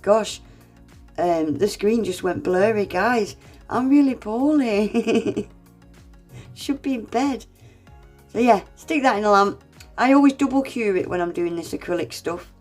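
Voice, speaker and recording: A woman's voice: mid-range voice, measured pace, pauses often, a little mellow, soft background music. A woman with a congested, under-the-weather voice, chattily talking viewers through a nail art tutorial. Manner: chatty, self-deprecating, addresses viewers as guys. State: congested, unwell. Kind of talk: casual step-by-step tutorial narration.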